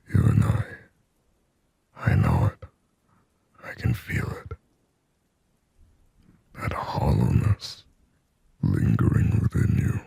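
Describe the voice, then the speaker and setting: A man voicing a lonely, possessive sleep paralysis demon in a hushed, breathy, intimate ASMR roleplay, halting and close to the ear. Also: dry recording, exaggerated voice. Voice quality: breathy, husky whisper with low gravelly rasp. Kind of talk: scripted ASMR roleplay monologue. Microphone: close microphone, dry.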